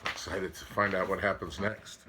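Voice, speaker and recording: A man reading a picture book aloud as a storyteller, dramatic and expressive, with asides to listeners. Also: low voice, normal speed, noisy background.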